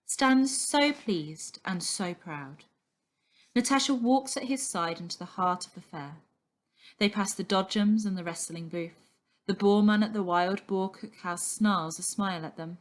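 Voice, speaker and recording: A woman's voice: mid-range voice, unhurried. A woman reading a children's novel aloud as scripted narration. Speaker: story reader.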